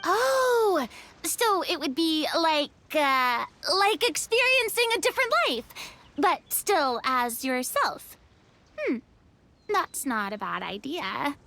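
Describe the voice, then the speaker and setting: A woman's voice: sits high, big pitch swings, slow, sharp tone, heavily acted. A woman playing a polite, earnest young woman who speaks thoughtfully and a little hesitantly in an anime game. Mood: curious.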